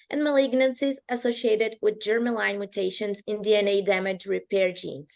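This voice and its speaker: A woman co-host reading out a guest's introduction, with occasional stumbles. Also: medium pitch. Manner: reads a long list with occasional stumbles.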